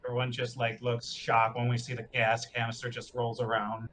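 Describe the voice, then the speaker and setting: A man with an average-pitched voice plays a tabletop game. He chats in a casual, joking way, over a faint background noise. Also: monotone, normal speed, a bit bright.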